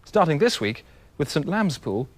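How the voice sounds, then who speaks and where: A man's voice: on the high side, big pitch swings, moderate pace. A man playing a brash, self-important TV news anchor in a spoof bulletin. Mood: brisk, self-important. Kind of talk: news presenting parody.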